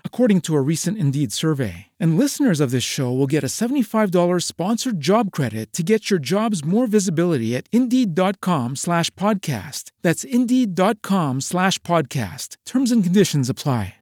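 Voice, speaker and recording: A man delivers a scripted ad read with a smooth selling tone. His pitch moves a lot and sits a bit high. The sound is dry and close. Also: big pitch swings, moderate pace, hardly any pauses, a little mellow, no echo. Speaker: ad announcer. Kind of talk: read advertisement.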